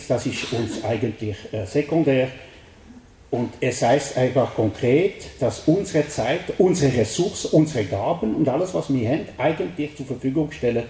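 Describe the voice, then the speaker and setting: Man, Swiss French accent, middle-aged, earnest speech, frequent pauses, warm low tone, roomy hall echo, faint background noise. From French-speaking Switzerland. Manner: earnest, halting with many fillers. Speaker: guest speaker.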